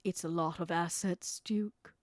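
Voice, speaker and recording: A woman playing a scheming, worldly female vampire businesswoman in a tabletop roleplay, speaking in a theatrical, deliberate, insinuating way. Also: fairly low pitch, fairly even intonation, a little mellow, dry recording, clean studio sound, natural voice. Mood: grave, confiding.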